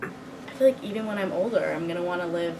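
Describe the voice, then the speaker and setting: A woman's voice: fairly low pitch, quick pace. A young woman from Boston answering interview questions casually, with lots of informal filler words like "like". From Boston, United States. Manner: informal, peppered with "like". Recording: clear room hum, air conditioning.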